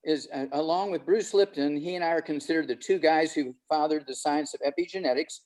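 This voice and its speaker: A man's voice: a little high, a little fast, darkish tone, low-quality recording. A man in his eighties, a panel guest on a video call, talking boastfully and rambling with many fillers. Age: 80s.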